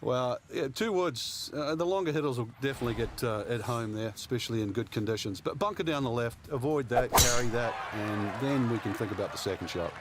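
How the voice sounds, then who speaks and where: A man's voice: mid-range voice, expressive, a little fast, hardly any pauses, noisy background, close to an everyday voice. A man playing a golf analyst in a video game, giving loose, conversational strategy advice as co-commentator. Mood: easygoing analysis.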